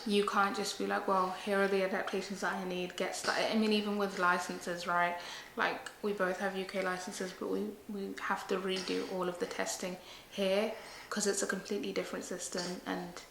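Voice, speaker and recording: A woman's voice: medium pitch, little pitch movement, moderate pace, gravelly, fairly bright tone, echoey room, slight background noise, poor audio. A woman from Zimbabwe who grew up in Britain and speaks, co-hosting a casual chat and leaning on "like" a lot. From Zimbabwe, raised in the UK from age four. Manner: chatty, leans on "like" a lot. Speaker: co-host. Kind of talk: casual chat answering viewer questions.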